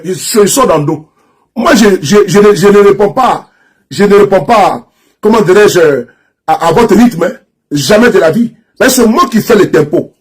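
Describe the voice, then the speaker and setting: An Ivorian man with a strong Ivorian French accent and a high, husky voice, speaking to camera. He repeats his points over and over in a mocking, insistent tone and trips over false starts. The delivery is unscripted and personal, like an online commentator addressing his followers. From Ivory Coast. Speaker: social media commentator. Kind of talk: direct-to-camera political rant.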